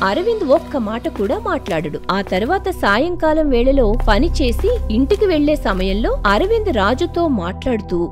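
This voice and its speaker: A woman's voice: sits high, big pitch swings, normal speed, hardly any pauses. A woman narrating a scripted moral story, with music playing behind her. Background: background music.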